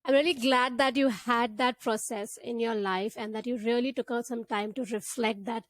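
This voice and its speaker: A woman's voice: fairly high pitch, dry recording. Earnest woman hosting a podcast. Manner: earnest and affirming, talks in long flowing sentences. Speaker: podcast host. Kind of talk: supportive interview response.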